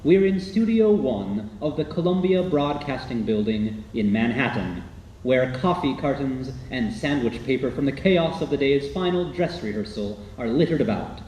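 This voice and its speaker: A man's voice: mid-range voice, lively intonation, normal speed, darkish tone, close to an everyday voice. A man playing a courteous, polished host announcing an old-time radio playhouse in a formal, showmanlike way. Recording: roomy echo, faint background noise.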